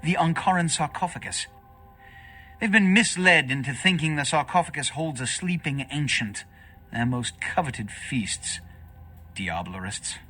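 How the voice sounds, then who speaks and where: An actor playing an imperious, scheming vampire prince in a video game, with a pompous, formal and grandiloquent manner. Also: male voice, a little high, animated, unhurried, sharp tone, faint music behind, heavily acted. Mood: grave, commanding. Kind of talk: dramatic video game voice acting.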